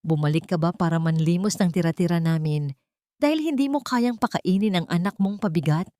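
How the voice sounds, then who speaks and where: Woman performing wealthy old matriarch, haughty, scornful, sharp-tongued, audio drama, dry sound. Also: on the low side, brisk, no echo, lightly acted. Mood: venomous scorn. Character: haughty, venomous wealthy old mother-in-law.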